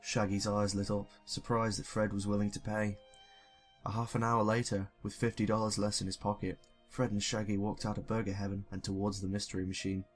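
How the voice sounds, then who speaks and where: Young guy w lowish voice reading a story, sweary n self-deprecating, faint music under it. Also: level tone, fairly quick. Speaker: YouTuber reading fan fiction. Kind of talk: casual story reading with joking asides.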